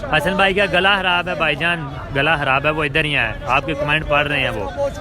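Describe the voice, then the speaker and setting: Cricket commentator over a crowd. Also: male voice, fairly high pitch, moderate pace. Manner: calls shots and greets viewers. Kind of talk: live commentary and viewer greetings. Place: outdoor cricket ground. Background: crowd noise.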